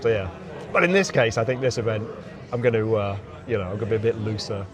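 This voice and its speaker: A man's voice: fairly low pitch, fast talker. Chatty man outdoors amid crowd chatter. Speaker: interview guest, game designer. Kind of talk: casual chat. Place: outdoors in a courtyard at a gaming event. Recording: outdoor event courtyard with background chatter.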